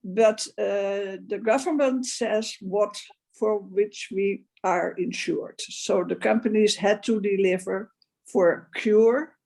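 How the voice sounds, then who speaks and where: A woman's voice: mid-range voice, steady tone, takes it slowly, vocal fry, warm tone. A woman from the Netherlands, a non-native English speaker with a Dutch accent, speaks as a panelist, searching for words with many fillers. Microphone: video call.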